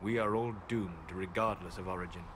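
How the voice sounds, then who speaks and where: A man playing a calm, aloof, learned mage in a fantasy video game, speaking formally and precisely. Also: deep voice, a little fast, natural voice. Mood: composed, grave. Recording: game audio with clear background noise.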